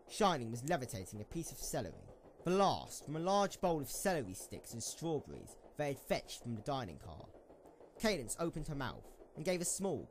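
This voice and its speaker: A lightly noisy home recording of a man narrating a story. His pitch is middling and moves up and down in an animated way as he reads the scripted prose. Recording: slightly noisy amateur microphone recording.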